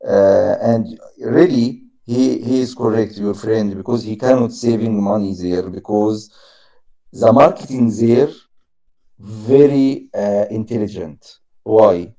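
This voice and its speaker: A man practicing his English, halting often to find the right word. He speaks very slowly, and his voice has a gravelly fry and a dark tone. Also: fairly low pitch, low-quality recording. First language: Arabic. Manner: halting, searching for words, simple grammar. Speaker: English learner. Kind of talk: casual conversation practice.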